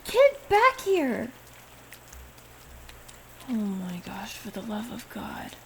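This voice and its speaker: A woman playing a caring, hurt young friend in an intimate audio roleplay, speaking earnestly and haltingly to the listener. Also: on the high side, heavily acted. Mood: exasperated, calling out. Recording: steady rain noise over the voice. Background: rain falling on surfaces.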